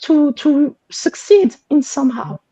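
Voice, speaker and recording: A woman's voice: high voice, expressive, unhurried, dark tone, dry recording, rough recording. A woman speaking on an online panel, hesitant and emotional, repeating words as she searches for them. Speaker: panel guest.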